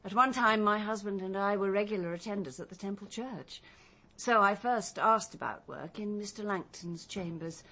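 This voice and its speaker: A woman's voice: medium pitch, normal speed, bright, forceful tone. A woman playing a reserved, courteous older English widow in a radio drama, speaking with restraint. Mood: restrained, earnest. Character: reserved, polite bereaved older widow seeking work.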